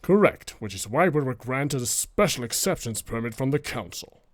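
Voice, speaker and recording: A man voicing a composed, wry, dutiful security chief in an animated comic dub. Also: mid-range voice, moderate pace, no echo, lightly acted. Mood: composed, polite. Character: gruff but easygoing adult security chief, composed. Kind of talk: animated fandub voice acting.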